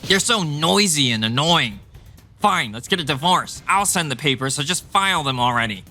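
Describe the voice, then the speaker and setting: A man's voice: on the high side, animated, moderate pace, sharp tone, faint music behind. A man voicing an arrogant, callous, easily irritated husband in a manga dub, dismissive and self-important. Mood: scornful. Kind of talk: dramatized manga dub dialogue.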